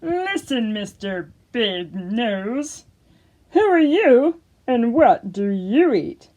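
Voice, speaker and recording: Woman voicing a brash, loud wide-mouthed frog, drawn-out words. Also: on the high side, sing-song, pitched above the performer's own voice, heavily acted. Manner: loud, drawn-out, shouting questions. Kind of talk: children's storytime puppet voice.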